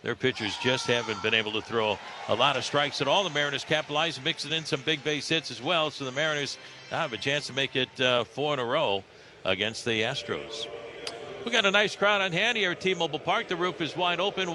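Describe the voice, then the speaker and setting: An American man calling live baseball play-by-play on the radio, with conversational, folksy asides between pitches. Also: noisy background. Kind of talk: live sports commentary. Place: broadcast position at an open-roof ballpark.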